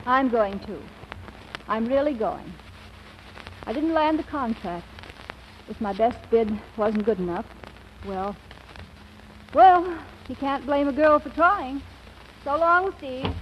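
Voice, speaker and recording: A woman playing a brisk, scheming, combative career woman in a 1940s radio comedy, wry and pointed. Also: high voice, expressive. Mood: resigned, wry. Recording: muffled, hissy old radio recording.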